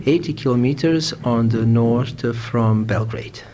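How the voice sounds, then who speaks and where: A man's voice: medium pitch, unhurried. A man from Serbia with a Serbian accent, answering interview questions conversationally and searching for words in English. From Novi Sad, Serbia. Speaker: interviewee, Hare Krishna devotee. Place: a room in India. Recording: faint background noise, informal room interview.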